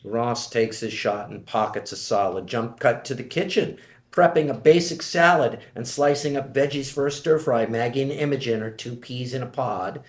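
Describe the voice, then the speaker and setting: A man's voice: mid-range voice, normal speed. A man narrating scene directions from a screenplay at a table read. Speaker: stage directions reader. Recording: echoey room.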